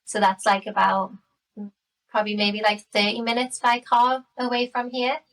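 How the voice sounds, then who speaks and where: Geordie woman late 30s, chatty podcast guest, pretty flat pitch, bit far from the mic. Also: normal speed. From Newcastle area, England. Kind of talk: casual chat.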